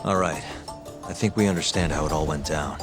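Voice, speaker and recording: A sharp-minded young detective in a video game. The voice is low and composed, with dry, probing delivery. Music is clearly audible underneath. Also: male voice, a little fast. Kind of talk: video game cutscene acting.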